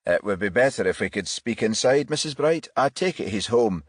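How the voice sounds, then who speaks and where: A man voicing a calm, polite but firm police detective in audiobook dialogue, courteous and probing. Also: lowish voice, normal speed, slightly rough, no echo, studio quality, voice pushed up.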